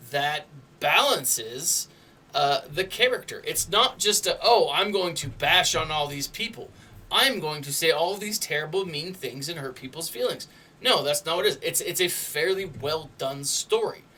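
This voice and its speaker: A man's voice: fairly high pitch, lively intonation, normal speed, sharp tone, distant mic, low-quality recording. A man giving casual, opinionated commentary to viewers as a video host, conversational and emphatic, often checking in with a tagged-on "right?". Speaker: YouTube host and reviewer.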